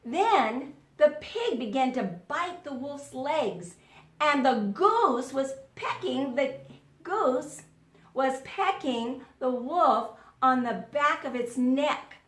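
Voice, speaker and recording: A woman teacher reads a story aloud for children and quizzes them as she goes. Her voice is high and expressive, taken at a slow pace, with the echo of a room around it. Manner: expressive storytelling, questioning listeners. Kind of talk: children's read-aloud lesson with questions. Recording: roomy, echoey sound.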